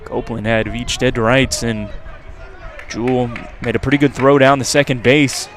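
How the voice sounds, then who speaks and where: A man's voice: mid-range voice, flat delivery, moderate pace, a bit bright. A man doing live radio play-by-play commentary of a baseball game from an outdoor ballpark. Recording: outdoor crowd and background noise. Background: ballpark crowd and ambient noise.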